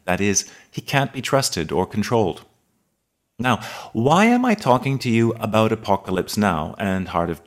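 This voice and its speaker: A man hosting a podcast for advanced English learners, reading a scripted episode in a measured, articulate way. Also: on the low side. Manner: measured, articulate, precise delivery.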